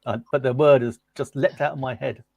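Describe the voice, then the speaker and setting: A man's voice: mid-range voice, steady tone, a little fast, creaky, darkish tone, dry recording. A man, a digital marketer guesting on a live stream, upbeat and friendly.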